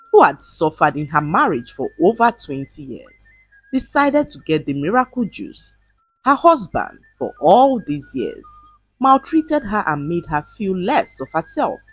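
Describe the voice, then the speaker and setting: A woman narrating a folktale from a script in an expressive, dramatic storytelling manner. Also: low-pitched, slightly rough, poor audio.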